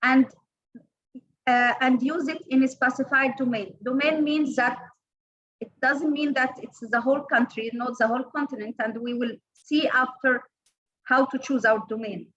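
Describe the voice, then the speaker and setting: Brief: Egyptian woman trainer, high voice, halting delivery with fillers, muffled online call sound. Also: steady tone, fairly bright tone. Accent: Egyptian Arabic. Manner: halting, many fillers and restarts. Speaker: workshop trainer. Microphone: online call audio.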